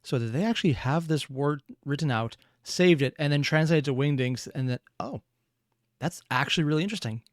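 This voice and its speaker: A man's voice: a little high, a little fast, no echo, clean studio sound. A man giving casual, analytical reaction commentary, musing aloud as he thinks through what he watches. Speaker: reaction video host.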